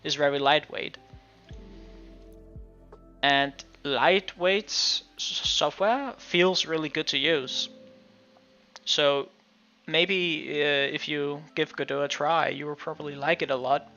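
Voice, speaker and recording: A Danish man who is not a native English speaker, streaming casual game-dev commentary in a halting way full of restarts. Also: a little high, pushed and bright, faint music behind. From Denmark. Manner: halting, many restarts and fillers. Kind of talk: casual live-stream commentary while coding. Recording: muffled lo-fi stream audio.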